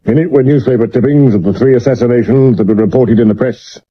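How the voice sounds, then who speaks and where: A man acting a sober Victorian London police inspector with a British accent and a measured, deferential way of explaining, in a 1970s radio drama. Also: medium pitch, breathy edge, natural voice. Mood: grave, explanatory. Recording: dull medium-wave radio recording.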